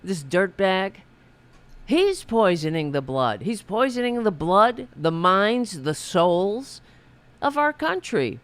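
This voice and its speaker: A man hosts a podcast and rants through a political monologue with growing heat. He speaks slowly, in a smooth, clear voice. He often stops and restarts his sentences. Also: high voice, very animated, fairly bright tone. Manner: impassioned, ranting, many restarts. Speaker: podcast host.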